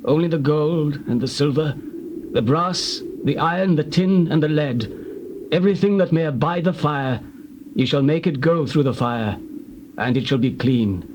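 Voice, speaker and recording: An actor playing a solemn male priest who declares the law in measured, formal phrases in a scripture drama. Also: a little high, noisy background, close to an everyday voice. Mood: solemn instruction.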